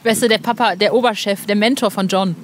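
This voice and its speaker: A woman's voice: medium pitch, fairly even intonation, fast, slight background noise. A woman hosting a podcast interview in an informal, chatty way, asking questions like a fan. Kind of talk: casual conversational interview.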